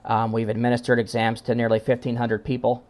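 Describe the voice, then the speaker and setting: Halting male presenter, informal technical talk. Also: mid-range voice, monotone, darkish tone. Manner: halting, hesitant phrasing with frequent pauses and repeated words. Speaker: conference presenter.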